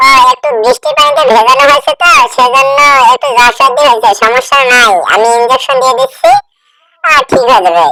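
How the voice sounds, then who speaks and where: The same performer who voices the boy, putting on a much lower voice for a brisk, reassuring village cow doctor in a cartoon. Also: huge pitch swings, vocal fry, over a noisy crowd, heavily acted, rough recording. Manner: loud, brisk and matter-of-fact. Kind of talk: cartoon dialogue.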